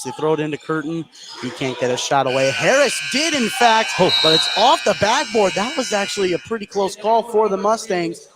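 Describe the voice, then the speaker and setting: A man doing live radio play-by-play of a basketball game, following the action in short clipped phrases. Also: on the high side. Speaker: play-by-play sports announcer. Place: indoor high school gym. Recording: live sports broadcast with clear gym noise. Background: clear game noise.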